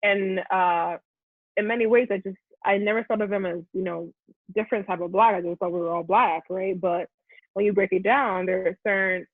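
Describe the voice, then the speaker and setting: A Nigerian woman, a Yoruba native speaker, talking as an interview guest in an animated way, often restarting her sentences and laughing. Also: mid-range voice, normal speed, dry recording. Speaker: guest content creator. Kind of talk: reflective interview talk. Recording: tinny phone-like line, dry.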